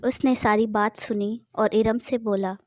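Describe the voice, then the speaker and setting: A woman's voice: mid-range voice, fairly even intonation, a little slow, darkish tone, no echo. A woman narrating a scripted horror story.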